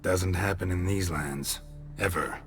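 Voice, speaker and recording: An actor playing a stoic, world-weary monster hunter in a fantasy game, speaking tersely and deadpan. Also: male voice, low voice, unhurried, faint music behind. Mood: sardonic.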